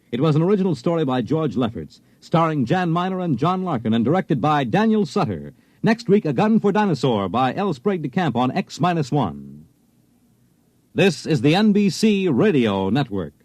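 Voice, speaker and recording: A man's voice: a little high, darkish tone, no echo. A man with an American accent announcing a 1950s radio show in a scripted, dramatic, declamatory style. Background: dramatic music.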